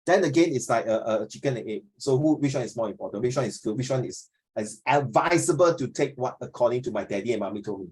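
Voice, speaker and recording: A Singaporean man in his fifties leads an informal class discussion at a middling pitch. He talks like a teacher thinking aloud, repeating phrases and tagging his sentences with questions and small particles. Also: expressive, quick pace, a little mellow, husky. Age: 50s.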